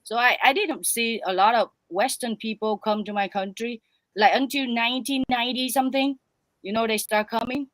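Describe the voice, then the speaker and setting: A Vietnamese-born woman speaking English with a Vietnamese accent, telling animated stories as a guest in broken English. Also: fairly high pitch, normal speed, no echo, rough recording. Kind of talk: casual storytelling chat.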